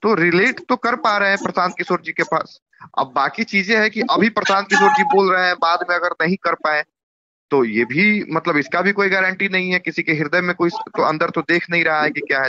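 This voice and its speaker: A man with a Bihari accent explaining politics informally in measured, repetitive phrases as an interviewee on a recorded phone call. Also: sharp tone. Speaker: YouTuber. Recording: muffled low-bandwidth phone call recording.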